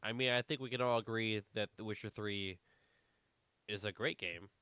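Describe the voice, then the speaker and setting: A man's voice: lowish voice, steady tone, moderate pace, bright, forceful tone, clear, resonant tone, no echo. A man co-hosting a casual podcast, rambling with lots of fillers, a little congested. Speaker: podcast co-host. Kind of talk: casual chat.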